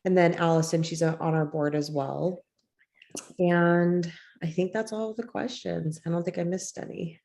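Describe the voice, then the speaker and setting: A woman's voice: lowish voice, steady tone, normal speed, gravelly, mellow. A young woman from Utah, a pathology resident, introducing herself chattily with many fillers on a video call. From Utah, USA. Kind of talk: casual self-introduction.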